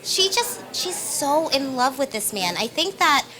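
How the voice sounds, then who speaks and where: A woman's voice: high voice, sing-song, a little slow, pushed and bright, faint music behind, low-quality recording. A woman, a stage actress, giving conversational interview answers in an earnest, emphatic manner.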